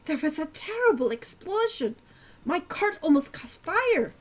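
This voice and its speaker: A woman's voice: sits high, sing-song, measured pace, voice pushed up. A woman voicing a cheerful, formal young centaur girl. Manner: stiff formal phrasing without contractions.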